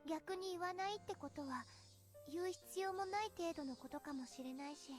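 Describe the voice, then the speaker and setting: A woman's voice: very high-pitched, quick pace, soft background music. A woman voice actor playing a young schoolgirl in a Japanese visual novel, talking plainly with a friend. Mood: casual, pensive.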